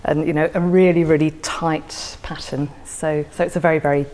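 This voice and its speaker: A woman's voice: low-pitched, level tone, normal speed, a little mellow, long reverb tail. A woman talking reflectively about poetry as an interview guest, thoughtfully repeating words as she goes. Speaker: podcast guest discussing a favourite poem.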